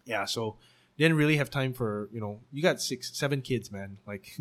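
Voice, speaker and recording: A man's voice: mid-range voice, normal speed. A man in his twenties with a Singaporean English accent, chatting casually in an interview and laughing often between fillers. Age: 20s. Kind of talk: casual conversational storytelling.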